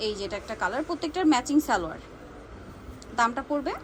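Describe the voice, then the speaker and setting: A woman with a Bangladeshi accent presenting products to viewers in a brisk sales showcase, repeating and checking details. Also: on the high side, very animated, background noise. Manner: brisk, repeats prices and asks for confirmation.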